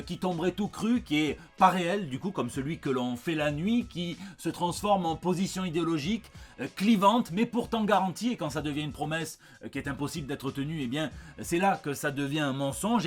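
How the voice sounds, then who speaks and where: French male host, slightly high voice, chatty and joking, for a conversational news review. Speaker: host of a comic book news show.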